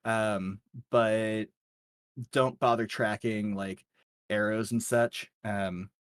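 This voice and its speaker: A man's voice: on the low side, fairly even intonation, fairly bright tone, clear, resonant tone, no echo. Casual male game master, dry sound. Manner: halting, casual. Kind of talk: narrating a tabletop game, improvised.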